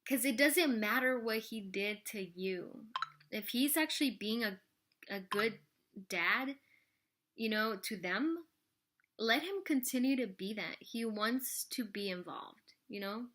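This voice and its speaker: Woman, 30s, vlogger, bright chatty voice, rambling, casual Q&A to camera. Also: on the high side, lively intonation, slow. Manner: rambling, full of "like" and "you know". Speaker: vlogger answering viewer questions.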